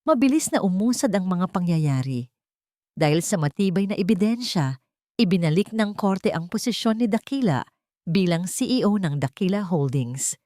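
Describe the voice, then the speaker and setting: Expressive low-voiced woman narrating. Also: dry recording, studio quality. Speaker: storyteller. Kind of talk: dramatic scripted story narration.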